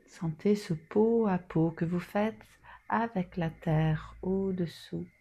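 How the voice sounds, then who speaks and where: Slow, low-pitched woman guiding relaxation. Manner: soothing, soft and breathy at times, long pauses. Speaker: yoga nidra guide. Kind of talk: guided relaxation meditation.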